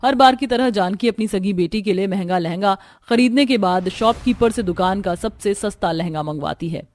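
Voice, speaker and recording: A woman's voice: mid-range voice, normal speed, darkish tone. A woman reading scripted narration for a cartoon family story.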